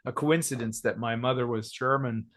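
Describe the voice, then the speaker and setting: A man's voice: mid-range voice, fairly even intonation, moderate pace, a bit bright. Easygoing, rambling man from Georgia. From Georgia, United States. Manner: rambling, many fillers and restarts, easygoing. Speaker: interview guest, musician. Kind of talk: casual interview chat.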